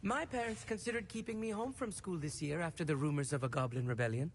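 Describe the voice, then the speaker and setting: A woman's voice: low voice, fast. A woman playing a studious teenage schoolgirl at a wizarding school in a video game. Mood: earnest. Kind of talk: video game character acting. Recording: long hall reverb, faint background noise.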